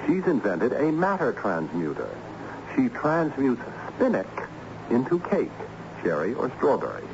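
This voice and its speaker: An actor playing a harried, ironic assistant principal in an old-time radio drama, theatrical and patiently explanatory. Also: male voice, mid-range voice, huge pitch swings, unhurried. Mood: enthused, explanatory. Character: harried, excitable male school assistant principal with ironic humour. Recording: dull, noisy old radio recording.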